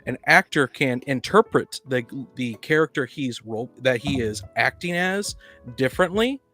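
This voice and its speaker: A man in a casual podcast debate, with music playing behind his voice. He argues insistently and readily interrupts to press his point. His pitch sits in the middle range for a man. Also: animated, normal speed, fairly bright tone. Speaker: podcast panelist.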